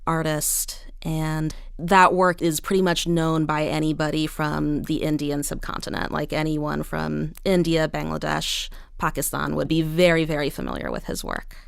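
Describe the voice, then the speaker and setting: A woman's voice: low-pitched, normal speed, creaky, hardly any pauses, smooth, no room sound, clean studio sound. A woman answering interview questions as a guest playwright, conversational, explaining things in a thoughtful way.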